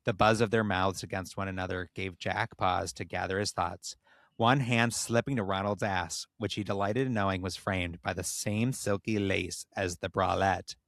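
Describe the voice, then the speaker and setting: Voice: low voice, steady tone, moderate pace, fairly bright tone, dry recording, studio quality. A podcast host reading fan fiction aloud in a playful, dramatic way, breaking off for joking asides.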